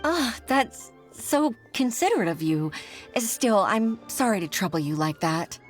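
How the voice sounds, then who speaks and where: Young female assistant for a game, played by an actress: medium-pitched, apologetic, dutiful, very expressive. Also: measured pace, faint music behind, lightly acted. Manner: deferential, expressive. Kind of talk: video game character acting.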